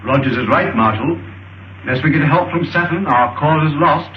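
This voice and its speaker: An actor playing a grave, learned scientist in an old film serial, with a formal, emphatic, professorial delivery. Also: male voice, a little high, normal speed, close to an everyday voice. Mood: grave, earnest. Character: grave, learned male scientist and leader of the future. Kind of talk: 1930s film serial acting. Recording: dull, hissy old film soundtrack, roomy.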